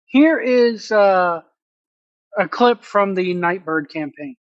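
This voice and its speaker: A man gives casual, off-the-cuff video commentary as host. His delivery is halting and searches for words. The recording is dry, with a dull lo-fi tone. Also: sits high, animated, no echo.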